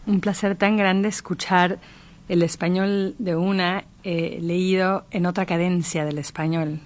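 A woman's voice: lowish voice, steady tone, measured pace. A middle-aged Mexican woman speaking as a guest in a reflective literary conversation, articulate and thoughtful. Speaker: interviewee, writer.

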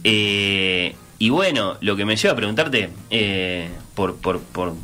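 A man's voice: fairly low pitch, lively intonation, slow pace, pushed and bright. A man with a Uruguayan accent hosting a radio interview, hesitant with many filler sounds and restarts.

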